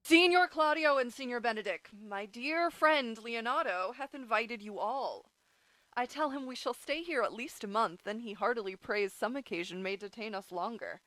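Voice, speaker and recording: A woman's voice: a little high, normal speed, fairly bright tone, no echo, natural voice, rough recording. A woman playing a genial, commanding prince with a lordly, courteous manner in a Shakespearean radio comedy. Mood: gracious.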